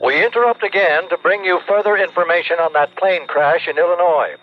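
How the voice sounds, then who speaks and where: An actor playing a formal radio newsreader giving bulletins through a radio filter. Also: male voice, high-pitched, a little fast. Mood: grave. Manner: formal, clipped announcing. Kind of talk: old radio news bulletin acting. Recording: tinny, noisy radio bulletin sound.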